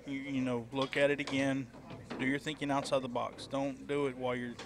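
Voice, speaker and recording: A man with a Texan accent giving relaxed, explanatory color commentary on a pool match, using folksy sayings. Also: mid-range voice, a little fast. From Texas. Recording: noisy crowd in the background.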